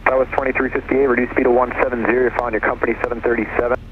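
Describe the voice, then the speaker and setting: A man's voice: mid-range voice, level tone, racing. A man giving clipped, procedural air traffic control instructions over aviation radio. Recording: tinny, noisy aircraft radio transmission.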